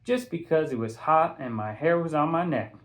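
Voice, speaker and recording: A man voicing a chatty, self-mocking schoolgirl in an audiobook, with an excited, slangy delivery. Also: on the high side, normal speed, pitched above the performer's own voice. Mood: amused.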